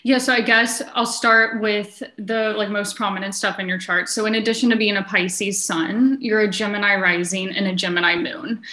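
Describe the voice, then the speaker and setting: Woman astrologer, casual and conversational, bright tone, tentative phrasing, echoey room. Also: medium pitch, steady tone, moderate pace, few pauses. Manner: hedging, frequent use of "like". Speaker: astrologer guest. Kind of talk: casual conversational chart reading.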